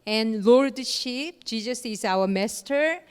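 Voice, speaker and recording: A woman giving a lecture in short, paused phrases with fillers, leaving room for an interpreter. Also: a little high, animated, smooth. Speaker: guest lecturer. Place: lecture hall. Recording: long hall reverb.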